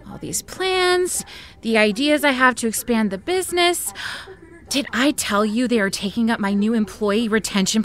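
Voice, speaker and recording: An actress playing a tipsy, heartbroken career woman in an audio drama, giggly and emotional in a drawn-out way. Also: a little high, a bit bright.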